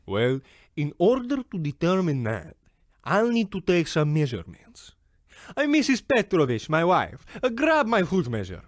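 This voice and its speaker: A man's voice: a little high, wildly swooping pitch, moderate pace, no echo, studio quality. A man playing a haughty, blunt and grandiose shoemaker in a radio comedy, talking in a theatrical, pushy salesman's manner. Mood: brisk, boastful. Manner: pompous, theatrical, swooping delivery. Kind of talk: old-time radio comedy acting.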